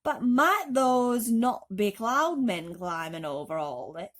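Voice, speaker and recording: A woman's voice: fairly high pitch, animated, takes it slowly. A woman voicing a blunt, know-it-all male centipede in a storybook, speaking sharply and emphatically. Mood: uneasy.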